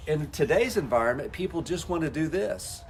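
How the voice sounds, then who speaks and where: A man's voice: a little high, lively intonation, normal speed, slight background noise. A man coaching network marketers in a folksy, talk-to-camera style, acting out the conversations he has with people. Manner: folksy, acts out quoted conversations.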